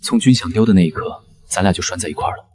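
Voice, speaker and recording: A man's voice: sits low, racing, darkish tone, breathy edge, no echo, studio quality. A man acting a cool, teasing schemer in an ancient Chinese fantasy drama. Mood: firm, wry.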